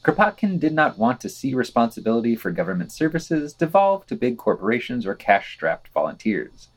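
A man reads news for a podcast from a script. His voice sits at a middle pitch and swings in a lively way, with dry, wry commentary slipped in between the quotations. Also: brisk. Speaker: podcast co-host reading news.

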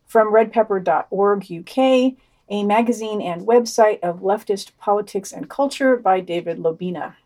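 A woman's voice: mid-range voice, normal speed, mellow. A woman hosting a podcast, reading news summaries with dry, sardonic asides. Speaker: podcast host.

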